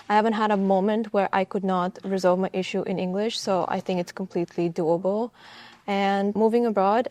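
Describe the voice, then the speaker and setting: A young woman from Bulgaria, a student, talks through her answers in an earnest, upbeat way and leans on "I would say". Her voice is mellow and soft-edged, and the sound is close and dry, with no room around it. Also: medium pitch, no echo. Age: young adult. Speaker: university student guest. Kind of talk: casual interview answers.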